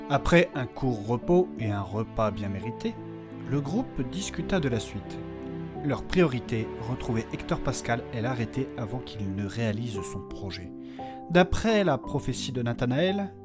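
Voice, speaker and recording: A narrator reading a scripted recap of a fantasy story. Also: medium pitch. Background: guitar music.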